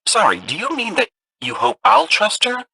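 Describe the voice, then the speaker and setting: Small robot sidekick, video game, very lively pitch, sharp bright tone, wordy, nervous. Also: male voice, normal speed, gravelly, breathy edge, no room sound, heavily acted. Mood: worried curiosity. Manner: hesitant, wordy, expressive. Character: small chatty anxious robot companion, male.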